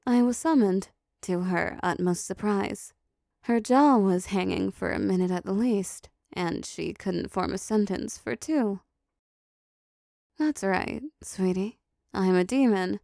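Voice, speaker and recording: A woman playing a seductive, possessive female demon in an intimate roleplay audio drama, talking slowly and teasingly to the listener with condescending endearments. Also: mid-range voice, gravelly, warm tone, dry recording, clean studio sound. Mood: smug, teasing.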